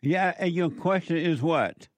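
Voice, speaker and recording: A man's voice: on the high side, unhurried, no echo. Older man hosting call-in radio. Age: 70s. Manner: blunt, preachy advice.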